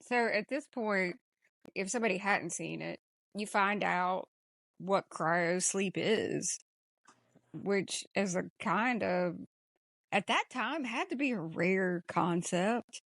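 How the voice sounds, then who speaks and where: A woman's voice: mid-range voice, takes it slowly, a bit bright, dry recording. A woman hosting a podcast, talking casually through a film discussion. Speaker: podcast host.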